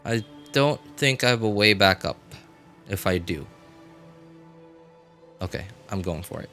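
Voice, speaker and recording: A man's voice: on the low side, expressive, unhurried. A man doing casual live gameplay commentary, thinking aloud in short informal remarks and chatting with his viewers. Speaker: game livestreamer. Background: game music.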